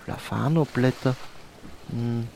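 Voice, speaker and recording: A man's voice: mid-range voice, slow, gravelly, mellow, low-quality recording. A man playing a friendly, hesitant ship supplies shopkeeper who repeats himself in an improvised audio drama, with faint noise behind. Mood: hesitant, helpful.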